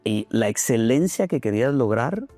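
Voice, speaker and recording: A man hosting a conversational interview, philosophizing in a halting way and repeating words as he thinks. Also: medium pitch, expressive, dark tone, no room sound, soft background music. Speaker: podcast host interviewing a guest.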